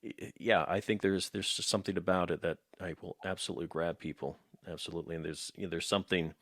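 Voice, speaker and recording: A man with a deep, low voice hosts a relaxed conversational interview in a very dry recording. He speaks emphatically, doubling up words to stress a point as he leads into his questions. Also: fast, no room sound. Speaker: interviewer, host.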